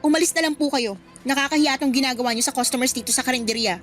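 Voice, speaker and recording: High-voiced, earnest young daughter. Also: female voice, very fast, fairly bright tone, faint music behind. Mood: upset, defiant. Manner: earnest, emotional. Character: devoted, outspoken student daughter, protective of her mother. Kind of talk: Filipino radio drama acting.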